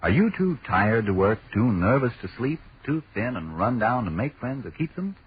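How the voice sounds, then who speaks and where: An American man announcing in a theatrical, deliberate 1940s radio style. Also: medium pitch, very animated, slightly rough. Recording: muffled vintage radio recording with music. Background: music.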